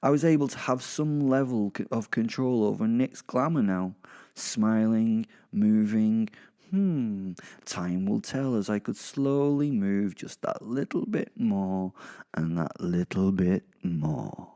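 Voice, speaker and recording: A man's voice: lowish voice, slow, warm tone. A British man voicing a sardonic, vengeful, foul-mouthed werewolf who narrates a horror-comedy audio drama in a rambling, menacing way. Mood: scheming.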